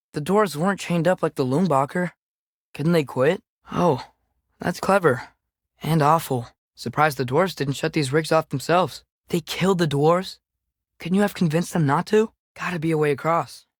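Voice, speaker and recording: A young man plays an earnest American teenage boy, a curious game companion with a fairly high voice. He talks casually and youthfully, often questioning and suggesting, in a close, dry recording. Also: normal speed, dry recording, lightly acted. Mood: somber, reflective. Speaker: video game companion character. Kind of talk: scripted game dialogue, conversational.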